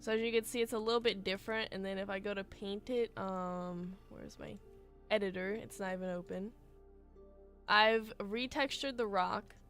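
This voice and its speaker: A woman livestreaming as she builds a game, talking in a chatty, rambling way with lots of fillers, her voice a little hoarse from a cold. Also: mid-range voice, fairly even intonation, moderate pace, a bit bright, faint music behind. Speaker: game streamer. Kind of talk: casual livestream chat while building.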